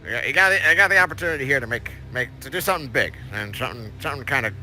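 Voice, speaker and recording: A man's voice: mid-range voice, animated, racing, sharp tone, faint music behind, pitched above the performer's own voice. A man voicing a stammering crab alien broker with a Southern twang. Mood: rambling. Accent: Southern US, as the figure. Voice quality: stammering, talks in tangled restarts. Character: rambling male crab-like alien information broker.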